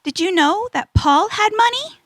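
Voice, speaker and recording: A woman playing an earnest young adventurer girl in a children's audio drama, instructive and deliberate. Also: very high voice, expressive, no room sound, studio quality.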